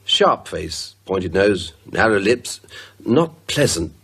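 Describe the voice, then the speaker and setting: An actor playing an irritable, self-assured man with a brisk, dryly sardonic manner in a radio drama. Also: deep voice, big pitch swings, creaky, fairly bright tone, breathy edge, exaggerated voice. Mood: disdainful. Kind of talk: naturalistic radio drama acting.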